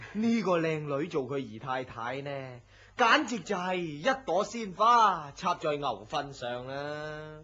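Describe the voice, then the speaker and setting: An actor playing a nosy, superstitious office worker in a Hong Kong Cantonese radio drama, gossipy and full of exclamations. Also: male voice, a little high, animated, slow, sharp tone, exaggerated voice. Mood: teasing, nosy. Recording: muffled lo-fi audio with faint noise.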